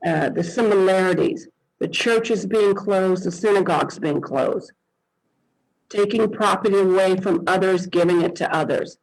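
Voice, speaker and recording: A man's voice: sits high, moderate pace, vocal fry, poor audio. A man hosting an online interview, introducing his guest with emphatic, preacherly cadence over a video call. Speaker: host.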